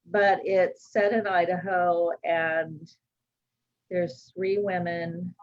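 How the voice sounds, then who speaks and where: A woman's voice: lowish voice, little pitch movement, very slow. A talkative woman author chatting on a video call, laughing easily as she rambles. Her voice comes through slightly dull and lo-fi. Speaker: guest author. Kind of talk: casual video chat.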